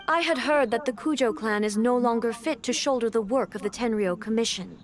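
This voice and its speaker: A stern, regal female ruler, acted by a woman for a video game, speaks in a fairly high voice. She is formal and measured, composed and used to command. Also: natural voice.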